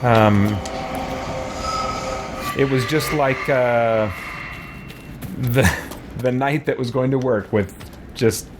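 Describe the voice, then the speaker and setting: A man's voice: mid-range voice, extremely slow, long pauses, heavy background noise. A man chatting through a live game stream, reading aloud and reacting as he plays. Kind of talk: live roleplay gaming commentary with chat shout-outs.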